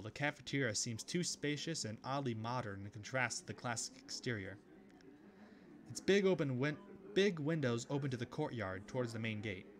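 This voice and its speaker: A man reading a visual novel aloud, with relaxed commentary and casual asides. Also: mid-range voice, a little hiss or hum. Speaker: video game let's player.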